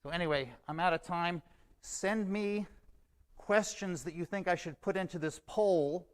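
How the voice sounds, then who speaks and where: A man giving a measured, explanatory talk at a scientific conference. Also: high-pitched. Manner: measured, explanatory, says okay as a filler. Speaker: conference presenter.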